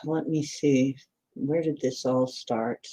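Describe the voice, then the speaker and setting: A woman's voice: rumbling low, fairly even intonation, takes it slowly, mellow, husky. A woman in her sixties chatting in a meandering way on a livestream, answering viewer comments as she goes. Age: 60s. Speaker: livestream host. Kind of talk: rambling livestream chat.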